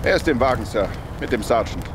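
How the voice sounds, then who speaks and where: Male police officer, acted: medium pitch, calm, deferential, for radio crime drama with clear background noise. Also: quick pace, lightly acted. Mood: matter-of-fact. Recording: clearly noisy outdoor sound-effects bed.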